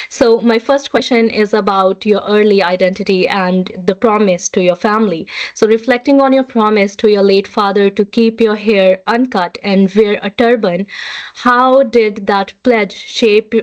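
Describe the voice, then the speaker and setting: A woman's voice: mid-range voice, dull audio. A woman interviewer welcoming a guest and asking prepared questions in a formal, careful way.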